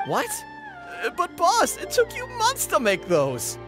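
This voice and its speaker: A woman playing a blunt, excitable young gang sidekick in a video game, talking in slang. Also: very high voice, a little slow, over music, exaggerated voice. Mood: worried.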